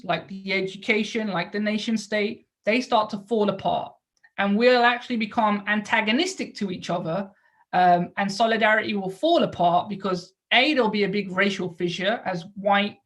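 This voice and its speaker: Woman giving an explanatory lecture. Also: medium pitch. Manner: explanatory, with rhetorical questions and check-in tags. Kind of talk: lecture to an audience.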